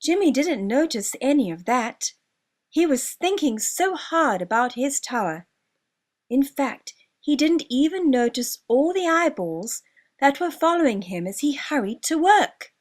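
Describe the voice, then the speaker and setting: High-pitched, slow, animated woman storyteller. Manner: expressive storyteller delivery with deliberate emphasis. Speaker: author narrating own children's story. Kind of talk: scripted children's story narration.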